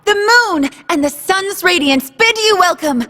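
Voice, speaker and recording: Game role: graceful stage star, bright soaring voice, poetic grandeur, long hall reverb. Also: female voice, very high voice, expressive, measured pace, exaggerated voice. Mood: grand, inviting. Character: graceful female stage star greeting her audience. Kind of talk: theatrical stage announcement.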